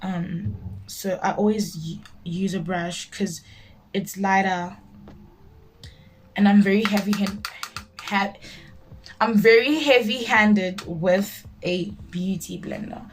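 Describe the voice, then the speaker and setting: Girl of 19 just chatting away, blunt and emphatic, says stuff twice to make the point, medium pitch. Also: steady tone, slow, pauses often. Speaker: beauty vlogger. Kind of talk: casual chat while doing makeup, answering viewer questions.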